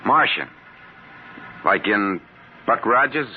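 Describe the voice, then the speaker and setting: A brash, sarcastic private eye with a very low voice. He talks in snappy, wry jabs. The sound is a muffled 1950s radio recording with faint hiss. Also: big pitch swings. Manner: brash, sarcastic, fast-talking. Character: wisecracking hard-boiled private detective, male. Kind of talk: 1950s radio drama acting.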